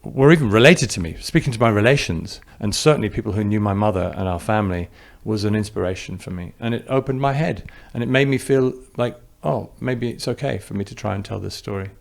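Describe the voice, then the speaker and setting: A man's voice: fairly low pitch, a little fast, vocal fry, hardly any pauses. A film director with an English accent, answering interview questions in a reflective way, thinking aloud and restarting his sentences. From New Zealand.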